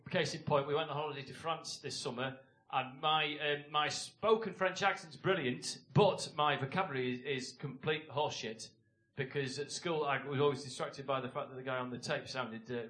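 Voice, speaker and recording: A man's voice: fairly high pitch, a bit bright. A man doing rambling, self-mocking stand-up comedy in a comedy club. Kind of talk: stand-up routine.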